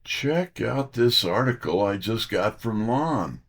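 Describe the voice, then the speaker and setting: Man playing a street-smart, animated detective's sidekick. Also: fairly low pitch, slow pace, full character voice. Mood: wry. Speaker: detective's assistant and narrator. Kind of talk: first-person hard-boiled audio drama narration.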